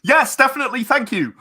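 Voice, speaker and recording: A man being interviewed in a casual chat, speaking earnestly and often haltingly, repeating words as he gathers his thoughts. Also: extremely high pitch, sharp tone. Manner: earnest about creative work. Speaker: interview guest, game and audio drama creator.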